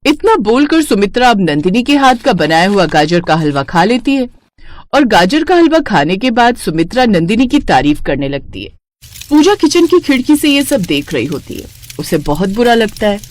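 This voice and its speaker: A woman's voice: mid-range voice, very animated, moderate pace. A woman reading scripted story narration.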